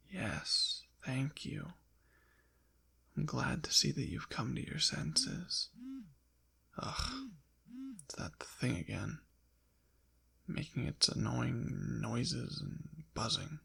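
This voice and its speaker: Ancient demon, played by a man for ASMR roleplay: suave, possessive, coy and haughty, very creaky, whispery delivery at an unhurried pace. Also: mid-range voice, pushed and bright, exaggerated voice. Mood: smug, impatient. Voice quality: breathy, often whispered, gravelly. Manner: coy, teasing, haughty, formal old-fashioned wording. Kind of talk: intimate ASMR roleplay acting.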